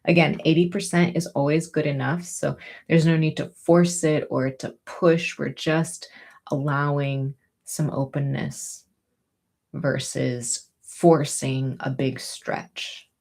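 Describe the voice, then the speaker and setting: A woman teaching yoga and breathing, giving gentle, soothing, unhurried encouragement as she guides each movement. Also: sits low, steady tone, vocal fry.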